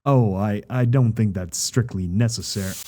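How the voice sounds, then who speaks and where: A man playing a stern, cautious pony therapist in an audio drama, speaking in a formal, measured, admonishing way. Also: lowish voice, mellow, slight background noise, lightly acted. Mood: hesitant.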